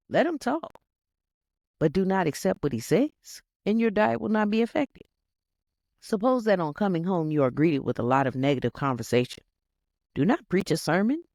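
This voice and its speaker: A narrator reading a self-help text aloud in an instructive, scripted audiobook style, with deliberate emphasis on key words. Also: medium pitch, darkish tone, no room sound, studio quality. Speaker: reader, narrator.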